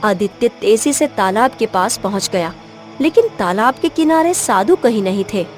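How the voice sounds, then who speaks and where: Woman narrator, mid pitch, dramatic fairy-tale storytelling, scripted delivery, prominent music behind. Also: expressive, normal speed. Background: background music.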